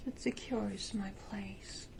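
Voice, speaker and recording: A woman voicing a menacing, tenderly cruel vampire matriarch in a slow, breathy, theatrical whisper for an ASMR roleplay. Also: mid-range voice, fairly even intonation, background noise. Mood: regretful, tender menace.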